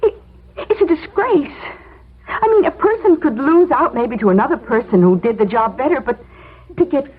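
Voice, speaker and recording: Very high-pitched, flustered, devoted secretary. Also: female voice, expressive, normal speed, slight background noise. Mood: distressed sympathy. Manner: hesitant, stammering, earnest. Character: devoted, flustered, tender-hearted female secretary. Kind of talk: 1970s radio drama acting. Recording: tinny old radio broadcast recording.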